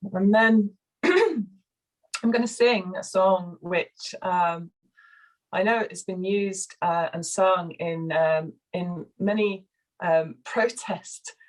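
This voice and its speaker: A soft-spoken woman with a slightly croaky voice, co-facilitating a video call, guiding a relaxation and sharing with hesitant pauses and fillers. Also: fairly low pitch, fairly even intonation, low-quality recording. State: hoarse, croaky. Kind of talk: guided relaxation and casual sharing.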